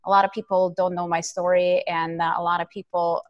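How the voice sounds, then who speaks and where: A woman's voice: on the low side, flat delivery, fairly quick, no room sound, lo-fi sound. A middle-aged woman from Ukraine, a podcast guest telling her life story in a chatty way with many fillers. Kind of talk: casual interview storytelling.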